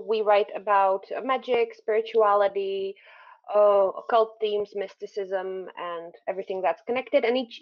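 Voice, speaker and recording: Woman cohost explaining stuff, little laughs, muffled lo-fi stream sound. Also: medium pitch. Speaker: co-host of a livestream. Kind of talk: casual livestream chat. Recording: dull lo-fi stream audio.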